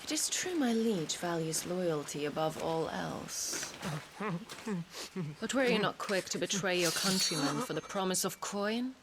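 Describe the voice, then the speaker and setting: A woman's voice: on the low side, lively intonation, unhurried, noisy background. An actress playing a cold female spy commander in a fantasy game, commanding and cuttingly polite, with mocking formality. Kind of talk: cinematic fantasy game acting.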